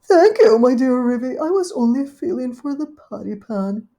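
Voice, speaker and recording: A woman's voice: high voice, lively intonation, normal speed, dark tone, pitched above the performer's own voice. A woman voicing an anxious, greedy little female dog guest in a storybook reading, eager and fussy.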